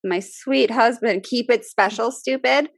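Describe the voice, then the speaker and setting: Midwestern woman in her thirties, podcast co-host, emphatic over-enunciated delivery, higher voice. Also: a little slow, gravelly, no room sound, studio quality. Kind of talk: casual chat.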